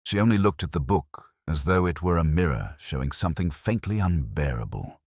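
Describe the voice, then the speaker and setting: Male narrator for literary detective drama: very low voice, animated intonation, reflective and measured. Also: dry recording, studio quality. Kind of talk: first-person scripted detective narration, literary audio drama.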